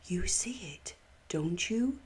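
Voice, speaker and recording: A woman voicing a serene, insightful young girl in a children's storybook, with a gentle, questioning manner. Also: medium pitch, lively intonation, takes it slowly, husky, full character voice. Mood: hushed. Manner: gentle, wondering, asks questions. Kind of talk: children's storybook read aloud.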